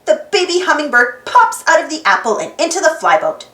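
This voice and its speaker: A woman narrates a children's storybook in a very high, highly animated voice. She reads expressively, with lots of melody, in a room that echoes. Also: a little fast. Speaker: storybook reader.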